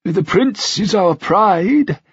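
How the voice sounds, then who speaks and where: A man voicing a frail, sentimental elderly king, in a high, slow and trembling voice. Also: animated, husky, dry recording, heavily acted. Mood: whispering. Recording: dull, dry, lo-fi sound.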